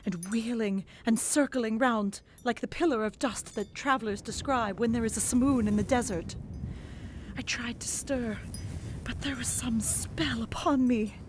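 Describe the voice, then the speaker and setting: A woman narrating a gothic novel in the first person, expressive and dramatic, dropping to whispers in the hushed passages. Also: fairly high pitch, noisy background.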